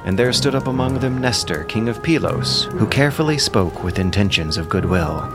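A man giving measured, theatrical narration of an epic audio drama over music. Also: lowish voice, lively intonation.

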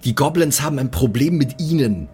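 A man's voice: medium pitch, lively intonation, fairly quick, darkish tone, slightly rough, faint music behind. A man playing along in an improvised tabletop role-play, speaking in a wary, insistent manner. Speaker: player in a tabletop audio drama.

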